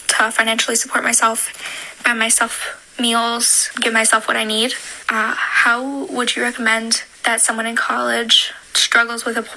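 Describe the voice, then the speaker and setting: A woman's voice: on the high side, pushed and bright, low-quality recording. A young woman with an American accent, asking an earnest, anxious question in a recorded voice memo. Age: young adult. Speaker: listener sending a voice memo. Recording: phone voice memo with faint background noise.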